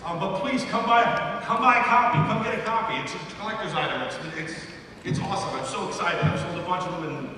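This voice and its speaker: High-voiced man in a cavernous, crowded hall. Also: fast, bright, forceful tone. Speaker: panel host and voice actor. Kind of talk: live convention panel talk. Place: large indoor convention hall with audience. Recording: echoey hall, distant mic, noisy crowd.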